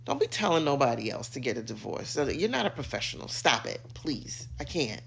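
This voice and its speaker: A middle-aged American woman giving an opinionated rant to camera, emphatic, sassy and theatrical, with dramatic pauses and drawn-out words. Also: extremely low pitch, very animated, gravelly, fairly bright tone, a little hiss or hum. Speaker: social media commentator.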